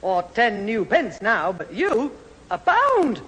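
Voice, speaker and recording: A woman's voice: a little high, very animated, slow, a bit bright, heavily acted. A woman playing an indignant, nagging middle-aged mother in a 1970s British radio sitcom. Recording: reverberant old radio recording, audience, faint hiss.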